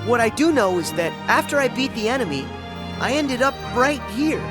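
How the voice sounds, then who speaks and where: A spirited teenage boy hero speaks in a youthful, lively American voice. He sounds earnest and casual, ready for adventure in a video game, with music clearly behind. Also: very high-pitched, moderate pace. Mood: thoughtful. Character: Sora, upbeat, eager, brave teenage boy hero. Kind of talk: animated video game voice acting.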